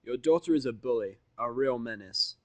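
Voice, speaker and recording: Defiant human protagonist voiced by a man, mid-pitched, dry close sound, brash sarcasm, confrontational fan audio drama. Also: normal speed, no room sound, natural voice. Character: defiant foul-mouthed human man, bluffing and scolding.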